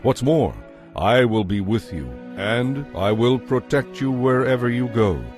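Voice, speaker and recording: A man narrating scripture as a dramatized story, in an expressive, measured way. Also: mid-range voice, over music.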